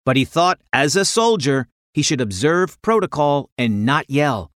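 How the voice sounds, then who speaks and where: Expressive woman storyteller, animated pitch. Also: unhurried, no room sound, studio quality. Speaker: storyteller, podcast narrator. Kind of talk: scripted children's story narration.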